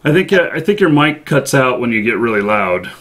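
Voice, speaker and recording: A man hosting a casual live-stream interview, good-humored and halting as he puts questions to a guest. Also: medium pitch, very animated, a bit bright.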